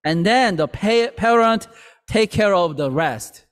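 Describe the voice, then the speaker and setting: Slow earnest pastor in a reverberant hall. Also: male voice, high voice, expressive. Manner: slow, earnest, with halting restarts. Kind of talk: sermon. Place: church sanctuary.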